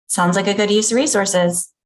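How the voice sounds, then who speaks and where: A woman playing a cool, unbothered boss of forty in a table read of a TV pilot, curt and deadpan. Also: medium pitch, normal speed, natural voice. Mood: brisk, unimpressed.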